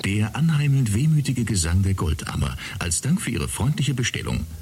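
An actor playing a polite innkeeper who talks like an announcer in a radio play. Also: male voice, fairly low pitch, sing-song, moderate pace, a little mellow, slightly rough, exaggerated voice. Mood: announcing. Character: smooth male innkeeper, announcer-like.

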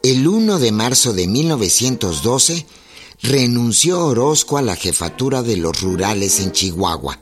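A man with a Mexican accent giving measured, dramatic narration for a historical radio drama. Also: mid-range voice, very animated, faint music behind.

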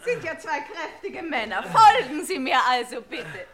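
An actress playing a haughty elderly aristocratic housekeeper in a vintage radio crime comedy, grand and fussy in manner. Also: female voice, high-pitched, sing-song, unhurried, crowd noise. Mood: fussy, bossy.

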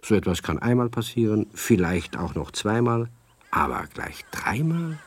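A man narrating a children's radio play in a measured storytelling manner. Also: on the low side, very animated, slightly rough.

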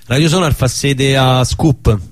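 A man's voice: mid-range voice, measured pace. A man with a Roman Italian accent, talking informally and with banter as a radio guest in a casual interview. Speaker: radio guest, theatre maker.

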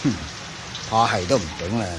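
An actor with a Hong Kong Cantonese accent playing a crafty, falsely genial older professor in a radio drama, sighing and condescending. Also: male voice, lowish voice, a little fast, noisy background, natural voice. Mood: weary, dismissive.

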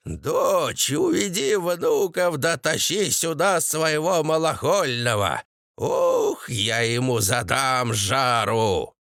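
A man voicing a vicious, triumphant old mother-in-law who scolds and cackles in drawn-out rustic speech. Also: fairly high pitch, big pitch swings, vocal fry, fairly bright tone, no room sound, clean studio sound, full character voice. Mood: raging.

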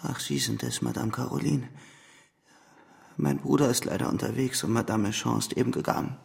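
An actor playing a frail, dying male idealist in a radio play, weak and breathy, with pleading visionary fervour. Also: mid-range voice, a little fast, a little mellow. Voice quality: breathy, whispery, cracked. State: weak, gravely ill.

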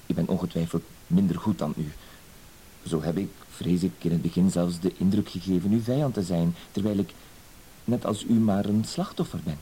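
An actor playing a timid, dutiful husband with wounded dignity, hesitant, wordy and apologetic, in a radio play. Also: male voice, sits low, normal speed, dark tone, close to an everyday voice, poor audio. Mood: pained, pleading. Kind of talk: Dutch-language radio play acting. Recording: hissy old radio play recording.

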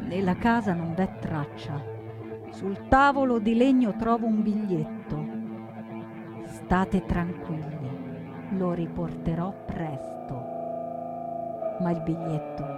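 An actress playing a wounded, fierce and anguished young partisan woman in a theatrical first-person monologue, speaking intensely in deliberate, short emphatic phrases. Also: mid-range voice. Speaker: stage actor.

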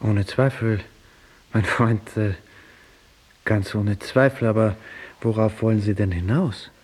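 A fairly low-pitched master composer converses in an eighteenth-century radio play. He sounds assured and courteous, placing his words with polite emphasis. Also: slow, a little mellow, husky, rough recording. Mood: courteous, attentive. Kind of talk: audio drama acting.

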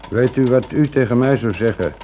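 An actor playing a cheeky, willing police assistant with a familiar, lightly teasing manner in a radio drama. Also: male voice, medium pitch, fairly quick, warm tone, dull audio, natural voice. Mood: curious. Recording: noisy old radio play recording.

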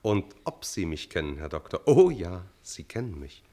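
An actor in a German radio play playing a sly, self-assured magician, suave and teasing. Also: male voice, deep voice, sing-song, moderate pace, mellow. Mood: sly, composed. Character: sly, self-assured male magician, mysterious uninvited visitor.

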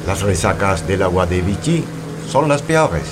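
A lowish, sardonic male voice acted by a man plays a suave French official in radio theatre. The delivery is wry, over clear background engine noise. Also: big pitch swings, a little slow. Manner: wry, sprinkles French exclamations.

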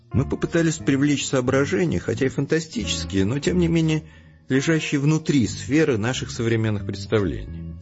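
Animated, lecturing male intellectual. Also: mid-range voice, creaky, muffled recording. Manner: formal, lecturing, emphatic. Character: self-assured, coolly logical intellectual man. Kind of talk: scripted audio drama dialogue.

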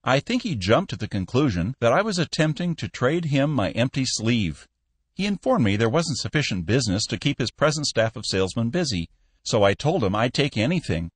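A man's voice: mid-range voice, big pitch swings, brisk, husky, no echo, voice pushed up. A man voicing a cheerful young veteran. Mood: earnest. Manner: earnest and upbeat. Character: cheerful young male war veteran seeking work.

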